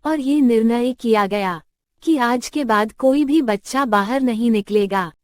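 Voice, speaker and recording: A woman's voice: a little high, measured pace, no echo, clean studio sound. A woman reading scripted narration for a children's cartoon story. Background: music.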